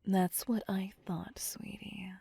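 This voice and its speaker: A woman playing a possessive, cocky vampire captor in an ASMR roleplay, with a breathy, husky, teasing and domineering whisper. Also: mid-range voice, fairly even intonation, warm tone, no echo, full character voice. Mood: teasing, smug. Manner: slow, teasing, seductive, domineering. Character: possessive, cocky, sadistic female vampire captor, playfully menacing. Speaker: voice actor performing audio roleplay.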